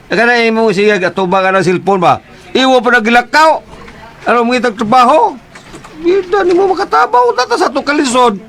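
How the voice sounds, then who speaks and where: A middle-aged radio-drama father with a Cebuano accent, forever nagging his daughter about her phone and her chores. His voice sits high for a man and leaps around. He scolds hard and keeps going. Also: expressive, moderate pace, creaky, a bit bright, full character voice. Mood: scolding.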